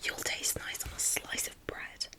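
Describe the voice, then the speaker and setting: A big male storybook monster, earnest and easily fooled, talks in a hushed whisper, as a woman reads him. The words come out breathy and unvoiced. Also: unhurried, close to an everyday voice. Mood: menacing.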